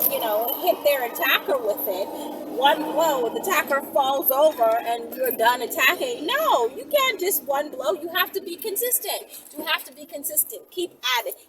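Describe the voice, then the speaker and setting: A woman giving casual instructional talk to camera as a self-defense presenter, speaking conversationally and directly to her viewers. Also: high-pitched. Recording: distant mic, faint background noise.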